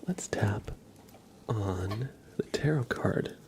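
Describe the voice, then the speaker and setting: A soft-spoken man who sounds slightly under the weather, chatting casually as an ASMR livestream host and often slipping into whispers. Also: on the low side, animated, very slow.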